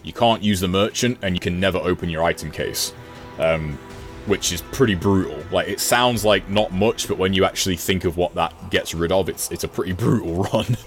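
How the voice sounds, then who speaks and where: A man's voice: low voice, moderate pace, soft background music. A man talking casually as a podcast guest and gaming streamer, in a rambling, self-deprecating way. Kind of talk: casual conversational chat.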